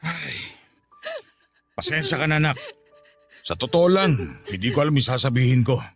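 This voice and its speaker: A man playing a middle-aged father in a Filipino radio drama, humbled and apologetic, speaking gently and with contrition. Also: medium pitch, moderate pace, close to an everyday voice. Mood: contrite. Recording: faint music under the voice.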